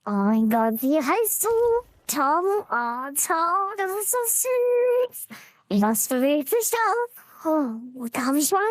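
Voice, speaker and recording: Man putting on a squeaky, very high young girl. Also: very animated, slow, dry recording, heavily acted. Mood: gushing, excited. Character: high-pitched young girl, sweet and clueless, through voice changer. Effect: pitch-shifting voice changer. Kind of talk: casual game chat, live stream prank.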